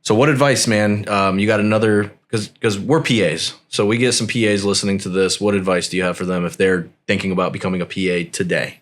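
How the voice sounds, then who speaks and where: A man with a very low voice hosts a podcast in an easygoing, unscripted way. He talks loosely and swears freely, tossing in "man" and "dude" as he goes. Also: fast, few pauses. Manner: relaxed, profane, peppers talk with "man" and "dude". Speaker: podcast host. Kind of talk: casual conversation.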